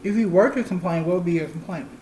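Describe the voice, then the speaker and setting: A woman's voice: on the low side, quick pace, mellow, roomy sound, a little hiss or hum, poor audio. A woman in her thirties chatting candidly and explaining things plainly to viewers as a channel co-host. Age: 30s. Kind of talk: casual chat.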